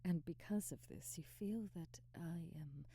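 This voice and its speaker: A woman playing an elegant, domineering vampire noblewoman in an intimate ASMR roleplay, speaking softly and breathily in formal, measured phrases. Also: on the low side, no room sound, studio quality. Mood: pensive. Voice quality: soft, breathy, whispery. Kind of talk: intimate ASMR roleplay, slow whispery delivery.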